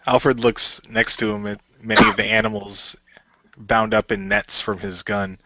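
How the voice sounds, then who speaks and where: A man narrates improvised adventure action in short present-tense bursts. His voice is fairly low with a bright, forceful tone. The recording is dry. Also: level tone, a little slow, dry recording, highs cut off. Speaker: narrator and player in amateur radio play.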